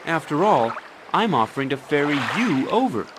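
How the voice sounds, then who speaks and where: A young actor playing a vain, boastful fairy-tale hero who talks in a brash, impatient way in a game. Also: male voice, fairly high pitch, big pitch swings, normal speed, noisy background. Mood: arrogant. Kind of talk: game voice acting.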